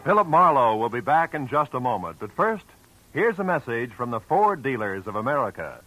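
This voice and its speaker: A male radio announcer giving the show's credits in a crisp, formal manner. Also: mid-range voice, very animated, moderate pace, pushed and bright, low-quality recording. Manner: crisp, formal sign-off delivery. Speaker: show announcer. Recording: old radio broadcast with music.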